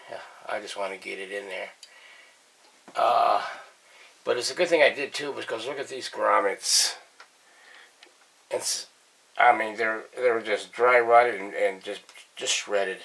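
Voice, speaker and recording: A man's voice: fairly low pitch, fairly even intonation, unhurried, creaky, pauses often, fairly bright tone, husky, poor audio. A man talking casually and plainly in a colloquial way as he hosts a hands-on restoration vlog from his workshop. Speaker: vintage radio restorer and host. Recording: faint background noise.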